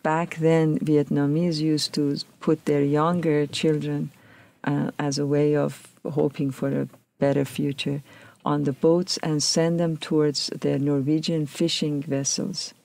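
Gravelly-voiced woman. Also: sits low, level tone, unhurried, mellow. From Iran. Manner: reflective, searching for words. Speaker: interviewee. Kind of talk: recounting personal history.

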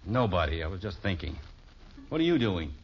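A man's voice: low-pitched, big pitch swings, fairly quick, breathy edge, exaggerated voice. A man playing an exasperated, stubborn middle-aged father in a 1950s radio sitcom, grumbling with folksy, emphatic sarcasm. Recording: dull 1950s radio broadcast recording.

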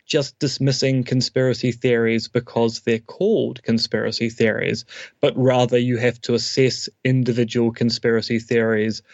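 A man's voice: medium pitch, little pitch movement, normal speed, no echo, lo-fi sound. A man, a philosophy academic, explaining his views at length in an interview with dry humour. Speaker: guest academic, philosophy professor.

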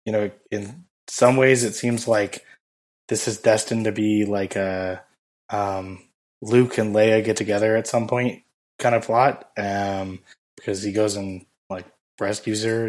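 Podcast co-host, male, hoarse and low, flat delivery, halting rambler. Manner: rambling, halting with many fillers. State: hoarse, losing his voice. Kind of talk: casual chat.

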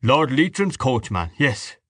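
Man acting a blustery, mocking family man, raised pitch. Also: very animated, unhurried, a bit bright, dry recording, clean studio sound. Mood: bitter, mocking. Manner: blustery, sarcastic. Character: coarse, bitter, mocking Irish father at dinner.

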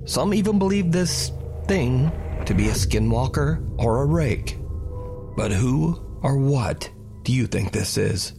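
A man delivers scripted narration for a spooky countdown video. His mid-pitched voice sits over a clearly audible music bed as he tells each story to the viewers. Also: animated, takes it slowly.

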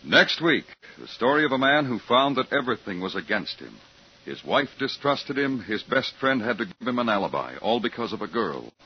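Dramatic, animated American radio announcer, man. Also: medium pitch, normal speed, noisy background. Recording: muffled 1950s radio recording, loud music.

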